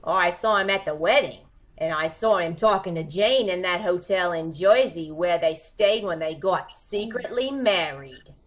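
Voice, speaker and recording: A woman's voice: lowish voice, animated, normal speed, close to an everyday voice. A woman playing a brassy, lovesick gun moll in an old-time radio comedy-mystery, slangy and melodramatic. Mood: indignant, gossipy. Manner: slangy, melodramatic, tearful outbursts over her love.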